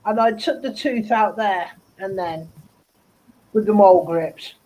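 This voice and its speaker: A woman's voice: mid-range voice, a little slow, dark tone, low-quality recording. A woman chatting casually, telling personal anecdotes and laughing along, heard over a video call mic. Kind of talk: casual chat.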